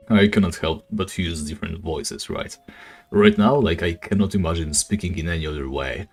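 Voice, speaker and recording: A man streaming a game and chatting casually with viewers, speaking English as a second language, with a deep, raspy voice and a relaxed, rambling manner full of fillers. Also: moderate pace, faint music behind. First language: not English. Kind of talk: casual live-stream chat while gaming.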